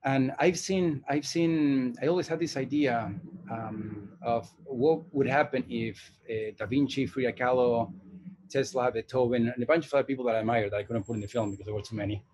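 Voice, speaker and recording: A man's voice: mid-range voice, brisk, a little mellow, a little hiss or hum, rough recording. A man in his forties from Argentina, an animation director and teacher, talking thoughtfully with many hesitations and restarts in a casual interview. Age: 40s.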